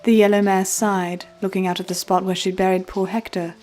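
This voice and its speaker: A woman's voice: medium pitch, flat delivery, brisk, darkish tone. A woman reading scripted storybook narration as the storyteller, with music playing behind her. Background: background music.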